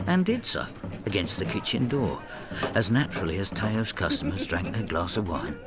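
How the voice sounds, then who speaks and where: An actor playing a bitter, hard-drinking old husband in a radio drama, brooding and sardonic with a husky voice. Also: male voice, deep voice, expressive, moderate pace. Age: older. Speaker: lead actor and narrator. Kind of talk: radio drama acting, confessional narration.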